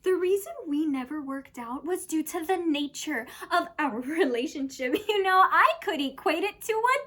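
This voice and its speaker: Woman performing as a unhinged young woman, very high bright voice, manic theatrical monologue, erratic, laugh-laced delivery. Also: expressive, moderate pace. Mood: frantic, bitter. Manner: theatrical, laughing through words, erratic outbursts. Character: manic, unhinged young woman telling bitter absurd stories.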